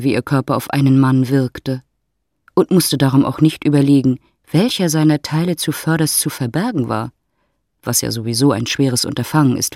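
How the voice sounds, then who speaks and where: A man's voice: medium pitch, darkish tone, no echo, clean studio sound, lightly acted. A man narrating a love story in a literary audio drama.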